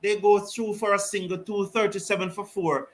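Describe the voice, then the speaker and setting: A man giving live ball-by-ball cricket commentary and reading out viewer comments, excitable on big hits. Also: sits high, normal speed. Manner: lively, rises excitedly on big shots, Caribbean English phrasing. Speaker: cricket watch-along commentator.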